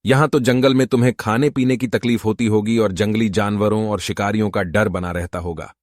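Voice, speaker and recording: A man's voice: lowish voice, steady tone, a little fast, no echo, clean studio sound, lightly acted. A man voicing a kind, grateful young prince in earnest storybook dialogue.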